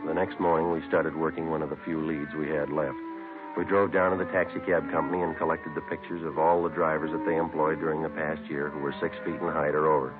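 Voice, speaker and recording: An actor playing a businesslike police sergeant in a radio drama, narrating his case in a deadpan, factual way. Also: a bass voice, fast. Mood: matter-of-fact. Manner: deadpan, matter-of-fact. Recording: muffled narrow-band old radio, music behind.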